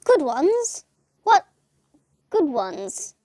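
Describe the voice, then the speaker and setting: This is a woman's portrayal of a naive little angel of low rank in a comic puppet show. The voice sits high and moves about in animated leaps, close and dry. It is prim and earnest, easily flustered into indignation. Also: very slow, no echo, heavily acted.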